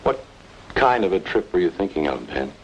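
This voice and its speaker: A low-pitched, weary man in a radio noir drama. He is measured, suspicious and dryly bitter. The old recording carries a light bed of noise. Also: big pitch swings, lo-fi sound. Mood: bitter, sardonic.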